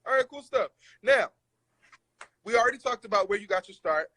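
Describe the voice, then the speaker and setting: Bright fairly high voiced guy hosting, upbeat slangy, dry sound. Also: animated, brisk, breathy edge, dry recording. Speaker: host, interviewer. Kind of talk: casual interview.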